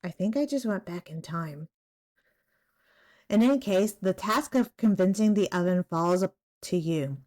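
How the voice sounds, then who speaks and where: A woman doing a casual let's-play, reading game text aloud and murmuring to herself in a halting way. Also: fairly low pitch, lively intonation, a little mellow. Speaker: gaming streamer playing a visual novel.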